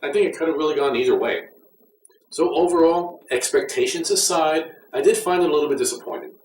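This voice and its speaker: A man giving a casual, conversational and mildly critical review as an audio drama reviewer. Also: mid-range voice. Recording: roomy echo, distant mic, faint noise.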